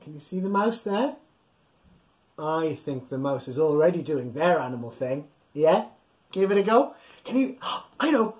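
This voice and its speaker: A man in his thirties hosting an interactive picture-book read-aloud, playful and exaggerated, talking straight to young listeners and encouraging them. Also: a little high. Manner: animated, physically acting along. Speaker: children's storytime host. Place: small indoor room at home.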